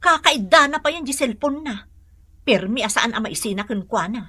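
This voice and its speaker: Bossy suspicious wife in radio comedy, scolding, bright dry sound, very animated. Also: female voice, fairly high pitch, moderate pace, breathy edge, dry recording. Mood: complaining. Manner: scolding, demanding. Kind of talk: comedy radio drama acting.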